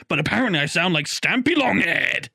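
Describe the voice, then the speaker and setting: A man's voice: high voice, big pitch swings, moderate pace, creaky, bright, forceful tone, slightly rough, dry recording, clean studio sound. A young man from the UK with a slightly posh British accent, co-hosting a podcast in rambling casual chat, laughing often. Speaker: podcast co-host.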